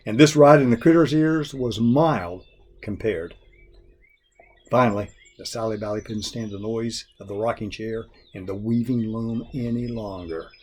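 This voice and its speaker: A man reading a children's folk tale expressively, in a folksy, deliberate storyteller's manner. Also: medium pitch, a little mellow, slightly rough. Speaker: story reader.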